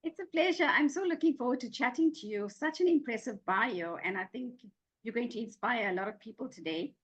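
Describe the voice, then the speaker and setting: A woman's voice: a little high, expressive, brisk, slightly rough. A woman hosting an interview show, introducing her guest in an upbeat, polished way. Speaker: show host. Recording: slightly dull lo-fi sound.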